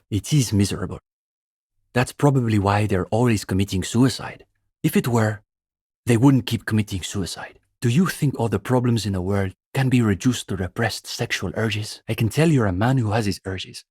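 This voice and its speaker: Bookish young communist student, slightly husky. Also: male voice, fairly low pitch, a little mellow, dry recording, studio quality. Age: young adult. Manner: intellectual, opinionated, dryly pedantic. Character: earnest, bookish young male communist student, smugly doctrinaire. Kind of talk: video game dialogue voice acting.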